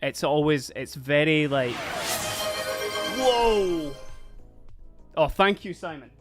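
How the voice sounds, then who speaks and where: A man's voice: a little high, animated, slow, fairly bright tone. A man with a Scottish accent, chatting casually and rambling on a livestream, laughing often while doing other things as he talks. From Scotland (Dundee). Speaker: streamer. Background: faint background music.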